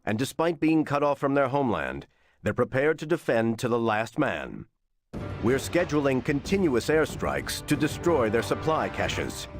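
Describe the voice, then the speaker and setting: US military briefer for a war game: grave, resolute, lively pitch movement, music behind. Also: male voice, normal speed. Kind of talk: scripted military briefing narration. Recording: voice over loud music. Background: loud music.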